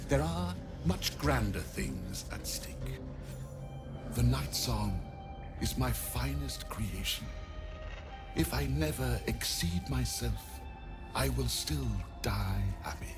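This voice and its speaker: An actor playing a haughty, sinister necromancer in a video game, with pompous, theatrical, drawling delivery. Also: male voice, mid-range voice, lively intonation, takes it slowly, gravelly, over music. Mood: menacing. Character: sinister, haughty male necromancer, vain about his grisly creations. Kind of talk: dramatic video game acting.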